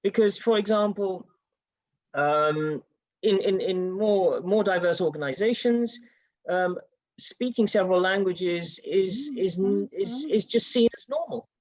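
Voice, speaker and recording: A British-born panelist telling a personal story in a panel discussion and acting out the quoted exchanges. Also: male voice, high-pitched, unhurried, no room sound. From United Kingdom. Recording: dull, dry sound.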